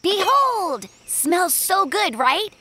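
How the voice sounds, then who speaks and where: An actor voicing a gentle, earnest young polar bear cub who speaks instructively, as a proud little cook in a children's cartoon. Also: very high-pitched, big pitch swings, very slow, very noisy, heavily acted. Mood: cheerful, proud. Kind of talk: animated children's cartoon acting.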